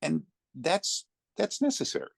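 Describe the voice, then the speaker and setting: A man talking conversationally as an interview guest, telling anecdotes in a reflective way with thoughtful pauses. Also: fairly high pitch, sing-song, creaky, husky, no echo, clean studio sound. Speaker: interview guest, narrator of classic readings.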